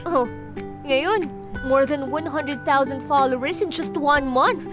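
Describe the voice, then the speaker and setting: A high-pitched young female student, played by a woman, the loyal and supportive best friend. She is earnest and helpful in radio drama style, over clear background music. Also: slow pace. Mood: enthusiastic. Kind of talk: Filipino radio drama acting.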